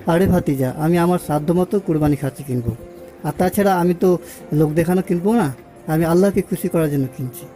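An earnest, pious uncle in a cartoon, played by a man. His voice is a little high and very mellow. He talks like someone giving moral advice, with light music behind him. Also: a little fast, natural voice. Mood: earnest, correcting. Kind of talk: amateur cartoon dialogue.

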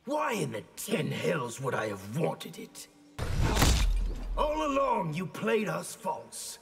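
Gravelly, defiant warrior general. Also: male voice, on the high side, big pitch swings, takes it slowly, heavily acted. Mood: outraged. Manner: forceful, accusing, combative. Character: proud, angry male warrior general, defiant and aggressive. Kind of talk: video game character acting. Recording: loud game music behind the voice.

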